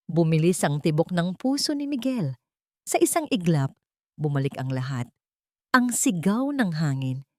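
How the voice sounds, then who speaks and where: A woman's voice: on the low side, darkish tone, no echo, studio quality. A woman narrating a dramatic scripted story.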